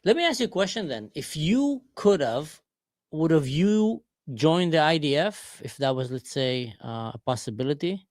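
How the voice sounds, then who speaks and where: A man's voice: a little high, very animated, normal speed, darkish tone, no echo, studio quality. A man in his forties from Israel, chatting with strangers on a livestream and asking lots of questions. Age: 40s. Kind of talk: casual video chat. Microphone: desk camera mic.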